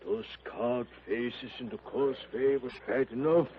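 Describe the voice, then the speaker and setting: An actor playing a nervous, jumpy expedition member in 1930s radio drama style. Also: male voice, medium pitch, measured pace, a crowd in the background, natural voice. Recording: tinny old radio transcription with hiss.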